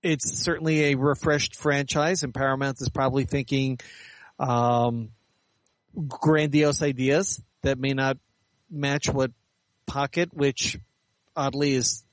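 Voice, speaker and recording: A man's voice: mid-range voice, fairly even intonation, takes it slowly, no room sound, poor audio. A middle-aged or older man answering interview questions in a candid, articulate, explanatory way. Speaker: interview guest, science fiction author and editor.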